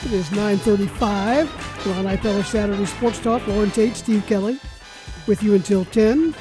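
A man's voice: high voice, normal speed, over music, low-quality recording. A man hosting a live sports talk radio show, courteous and orderly as he handles callers and announcements, a bit hesitant when explaining.

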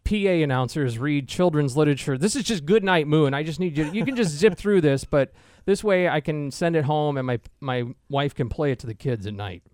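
A man's voice: on the high side, lively intonation, fairly quick, darkish tone, dry recording. An American man in his thirties or forties hosting a sports radio show with casual banter. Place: radio studio.

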